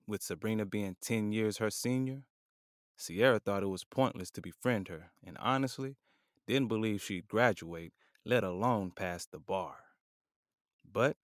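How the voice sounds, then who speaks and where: A man narrating a scripted audio drama in a measured, descriptive way. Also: fairly low pitch, no echo, clean studio sound.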